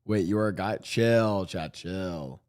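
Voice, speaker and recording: A man's voice: low voice, takes it slowly, a little mellow. A man live-streaming, chatting casually to his viewers and reacting to a clip, rambling and swearing freely. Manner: swearing, rambling, talks to chat.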